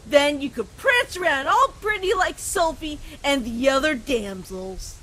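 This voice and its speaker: A woman voicing a mean young deer bully in an animated comic dub, taunting in a mocking sing-song way. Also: sits high, a little slow, poor audio.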